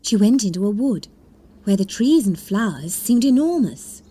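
A woman narrating a children's read-along story in an expressive, deliberate storytelling manner. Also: mid-range voice, a little hiss or hum. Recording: music bed under the voice. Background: music.

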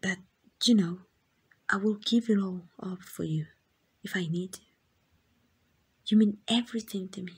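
A woman with a Mexican accent playing a tender, devoted queen in a romantic ASMR roleplay, speaking in gentle, intimate, breathy whispers. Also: medium pitch, moderate pace, long pauses. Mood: tender, wistful. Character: tender, devoted queen in secret love with a commoner.

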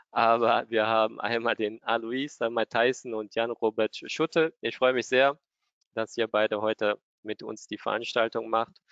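A man's voice: medium pitch, vocal fry, a bit bright, no echo. A man hosting and opening a broker's webinar over a video call, welcoming viewers formally with a few fillers. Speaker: webinar host. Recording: dull lo-fi video call audio.

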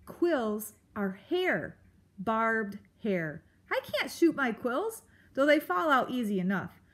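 A woman's voice: on the high side, big pitch swings, slow, fairly bright tone, exaggerated voice. A woman voicing a cantankerous old male storybook porcupine, blustering and exclaiming crude, colourful insults. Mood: gruff, grumbling.

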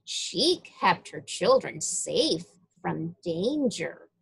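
A woman reading a Bible story aloud to young children, with deliberate, emphatic phrasing. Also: on the low side, big pitch swings, creaky, breathy edge, low-quality recording.

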